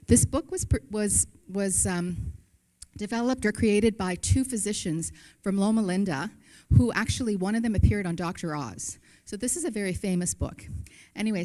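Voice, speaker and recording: A woman's voice: mid-range voice, moderate pace, mellow. A woman hosting a seminar, who introduces the speaker in a friendly, chatty way with some hesitant fillers. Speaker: event host.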